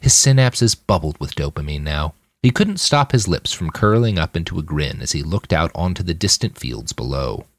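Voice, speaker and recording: A man with a low voice narrates a work of fiction in the manner of an audiobook. His delivery is dramatic and animated, with wide swings in intonation and measured, clipped phrases. The sound is close and dry, free of room echo. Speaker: author reading his own novel on a podcast.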